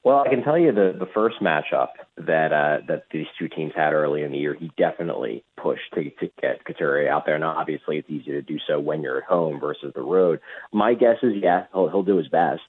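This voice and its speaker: A man speaking as a hockey reporter and radio guest, giving measured, conversational sports analysis at length over a phone line. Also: extremely low pitch, lively intonation, husky. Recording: muffled tinny phone line.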